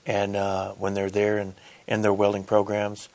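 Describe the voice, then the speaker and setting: A man's voice: lowish voice, completely flat delivery, moderate pace, a little mellow. A middle-aged man, a union apprenticeship coordinator answering in a studio interview, earnest and deferential on a lapel mic. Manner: earnest, deferential, says "yes, sir".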